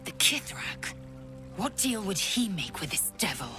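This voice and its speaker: A woman's voice: mid-range voice, very animated, measured pace, music in the background. An actress playing a fierce, imperious alien warrior woman in a video game, curt, commanding and intense. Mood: suspicious. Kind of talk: video game cutscene acting.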